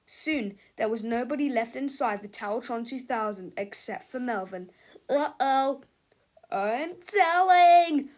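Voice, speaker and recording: A woman's voice: a little high, normal speed, few pauses. A woman reading a children's book aloud as scripted narration.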